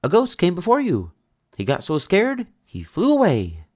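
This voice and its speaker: Man doing a jolly old mole caretaker, squeaky high, loud, excited. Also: normal speed, exaggerated voice. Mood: storytelling.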